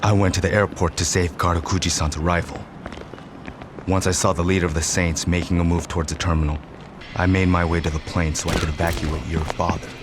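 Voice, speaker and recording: A cold crime family enforcer speaks in a very deep, flat monotone with clipped formality, played by a man. Clear noise sits behind his lines in the game audio. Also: quick pace, lightly acted. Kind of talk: video game cutscene acting.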